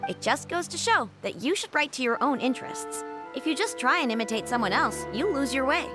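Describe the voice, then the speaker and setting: A woman's voice: sits high, sing-song, a little fast. A woman playing a polite, cheerful young publishing editor in an anime game, courteous, upbeat and businesslike. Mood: explaining. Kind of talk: anime game dub acting. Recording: music behind.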